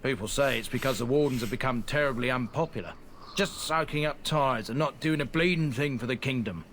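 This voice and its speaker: An actor playing an earnest, proud merchant with a colloquial, plain-spoken way of talking in a fantasy game. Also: male voice, mid-range voice, brisk, a bit bright, a little hiss or hum, close to an everyday voice. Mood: indignant. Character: earnest, proud merchant man defending his disgraced family's honor. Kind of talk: scripted fantasy game dialogue.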